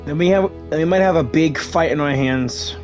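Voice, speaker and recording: A man giving casual, slangy, excitable commentary as he plays through a video game. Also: on the high side, normal speed, faint music behind. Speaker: gamer streaming a playthrough.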